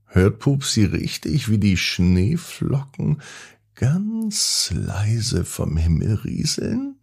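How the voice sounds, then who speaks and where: A man hosting a children's podcast, narrating expressively and gently with drawn-out emphasis. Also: on the low side, big pitch swings, vocal fry, husky. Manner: gentle, drawn-out emphasis, addressing young listeners. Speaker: children's podcast host and storyteller.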